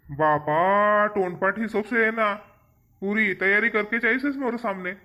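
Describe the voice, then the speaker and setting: A man's voice: high-pitched, unhurried, pushed and bright. A man voicing a stingy, bossy village husband in a cartoon comedy, with a rural eastern Maharashtra border accent and a sarcastic manner. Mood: grumbling. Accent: rural eastern Maharashtra, Balaghat-Gondia border region. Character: stingy, grumbling village husband refusing to pay.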